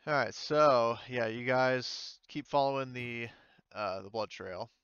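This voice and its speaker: A man's voice: mid-range voice, measured pace, fairly bright tone, clear, resonant tone, no echo, highs cut off. A man casually narrating as game master of a tabletop game, moving between informal chat and descriptive narration.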